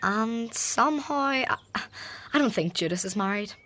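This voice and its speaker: A woman's voice: a little high, expressive, normal speed, sharp tone. An actress playing a young woman who is Matthew's sister, hesitant and fretful in a radio drama. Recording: radio play with faint music.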